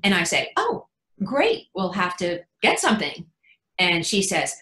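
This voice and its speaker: A woman's voice: fairly low pitch, a little slow, exaggerated voice. A woman playing a devoted, emotional mother who tells her story in an emphatic staged reading, acting out what others say. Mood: matter-of-fact. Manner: animated, emphatic storytelling with acted-out quotes. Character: devoted, emotional mother of young children narrating. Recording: echoey room.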